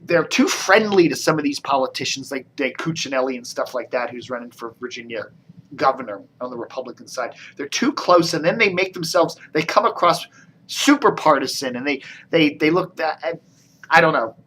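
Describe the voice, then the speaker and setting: A man's voice: on the high side, lively intonation, moderate pace, fairly bright tone, slight background noise. An American man giving casual, rambling commentary as a video blogger, with a wry, mildly sarcastic manner.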